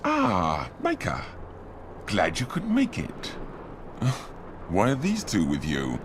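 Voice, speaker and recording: A man's voice: low-pitched, wildly swooping pitch, slow, noisy background, exaggerated voice. An actor playing a surly, sour watchman in a fantasy video game, curt and sneering. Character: surly, sour male watchman nicknamed after a weasel. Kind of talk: video game cutscene acting.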